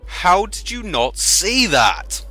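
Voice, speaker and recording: An incredulous young adventurer, played by a man in an anime parody. He speaks slowly and emphatically in a forceful, bright voice that swings with exasperation. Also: high voice, big pitch swings, soft background music, full character voice.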